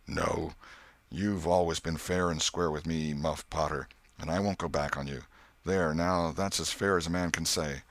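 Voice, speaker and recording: A man voicing a cold, controlling murderer in an audiobook, with rough, commanding rustic speech. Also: extremely low pitch, steady tone, normal speed, a bit bright, no echo. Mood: reassuring. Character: stolid, scheming male murderer, cold and controlling.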